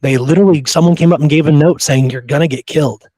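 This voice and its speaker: A man's voice: a little high, quick pace, dark tone, husky, no room sound. A man co-hosting a history podcast in a casual, conversational style.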